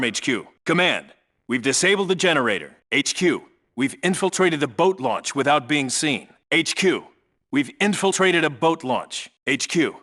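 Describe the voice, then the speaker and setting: An actor playing a terse, commanding Navy SEAL team leader, giving clipped, businesslike reports in a military video game. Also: male voice, a little high, a bit bright.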